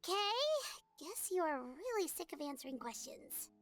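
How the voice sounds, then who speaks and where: A woman's voice: high voice, animated, moderate pace, a bit bright. A woman voicing a tiny, perky, childlike girl adventurer in a video game, earnest and inquisitive. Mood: thoughtful. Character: tiny, chirpy, childlike female companion adventurer. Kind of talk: cartoonish video game voice acting. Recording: music under the voice. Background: game music.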